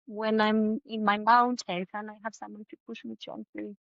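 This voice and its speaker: A Greek-accented woman talks easily as a guest, English clearly not her first language, so she sometimes reaches for words. Her voice sits in a middle pitch. The recording is dry and a little muffled and lo-fi. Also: level tone, normal speed, no echo. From Greece. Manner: searching for words, warm and chatty. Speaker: guest, Pilates teacher. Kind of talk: casual conversation.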